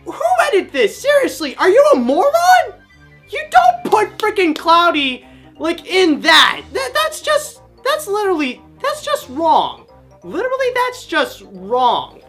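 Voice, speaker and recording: A man's voice: very high-pitched, wildly swooping pitch, a little slow, fairly bright tone. A man in an unscripted, indignant rant, stammering and repeating himself. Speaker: animation reviewer. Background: background music.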